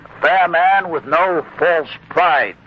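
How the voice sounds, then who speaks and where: An actor playing a proud, loyal, warm-hearted blacksmith father in a theatrical 1940s radio drama style. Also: a little high, slow pace. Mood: proud, firm. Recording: muffled, noisy 1940s radio recording.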